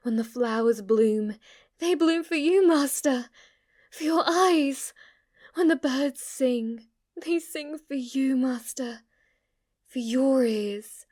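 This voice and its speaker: A woman plays an obsessively devoted female demon maid in an ASMR roleplay. She speaks slowly in a fairly high voice. Her manner is formal and deferential, full of submissive adoration for her master. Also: darkish tone. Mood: rapturous worship. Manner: formal, deferential, breathless adoration, sometimes whispering.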